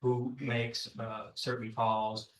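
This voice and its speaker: A male prosecutor making formal courtroom arguments and questioning in a halting, deferential way. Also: medium pitch, flat delivery, a little hiss or hum.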